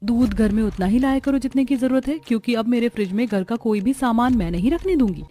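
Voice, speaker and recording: A woman voicing a jealous, sharp-tongued young sister-in-law in a cartoon moral story. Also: on the high side, fast, close to an everyday voice. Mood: haughty.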